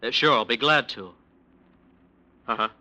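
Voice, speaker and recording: An actor playing a smooth-talking, defensive lawyer in a 1940s radio drama. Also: male voice, medium pitch, a little slow, sharp tone, poor audio. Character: defensive, self-justifying male lawyer.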